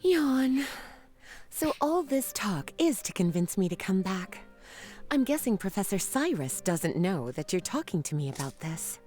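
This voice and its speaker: Languid scholarly librarian, self-assured, teasing, animated intonation, video game, faint music underneath. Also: female voice, medium pitch, unhurried. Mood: bored, knowing.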